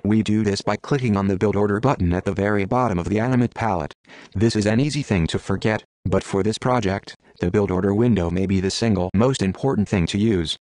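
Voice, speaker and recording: Low-voiced man explaining software, dry sound. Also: fairly quick, no echo. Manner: methodical, step-by-step explaining. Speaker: instructor. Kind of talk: screen-recorded software tutorial.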